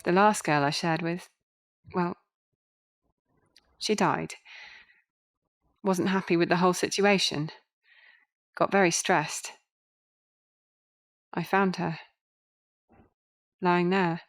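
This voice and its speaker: A woman's voice: on the low side, fairly even intonation, takes it slowly, pauses often. A woman playing a proper, kindly Victorian nurse in an audio drama, matter-of-fact and chatty. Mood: grimly matter-of-fact.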